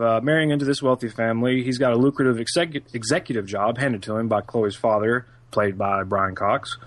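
A man with a flat, monotone delivery walks through a film's plot on a casual podcast. His pitch sits in the middle for a man, and he talks in an even, unhurried way with small fillers. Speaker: podcast co-host giving film synopsis.

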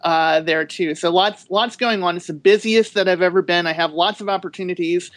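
Reflective woman author, halting speech. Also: fairly low pitch. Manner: reflective, halting with many restarts. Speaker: guest author. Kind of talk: podcast interview.

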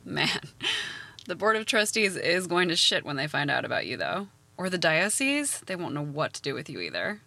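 A woman's voice: deep voice, big pitch swings, moderate pace, creaky, sharp tone, no room sound, exaggerated voice. A woman playing a jaded, blunt schoolteacher with a dry, sardonic manner, in a naturalistic audio drama. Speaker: actor in an audio play.

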